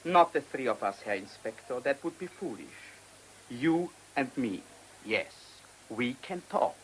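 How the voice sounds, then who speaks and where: An actor playing a proud, controlled middle-aged cafe pianist in a radio play, speaking in a measured, haughty way. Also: male voice, medium pitch, expressive, slight background noise, lightly acted, rough recording. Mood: guarded, firm. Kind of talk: radio drama acting.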